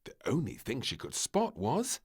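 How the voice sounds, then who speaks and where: A man narrating a children's story from a script, with expressive, deliberate phrasing. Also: mid-range voice, slight background noise.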